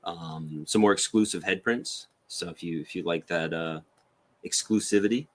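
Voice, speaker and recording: A man with a deep voice talks as a guest on a casual show. He explains things informally, pausing and restarting as he finds his words. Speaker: podcast guest, figure sculptor. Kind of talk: casual interview chat.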